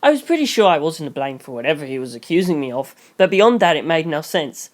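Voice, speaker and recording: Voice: mid-range voice, big pitch swings, fast. A reader narrating a first-person story in audiobook style.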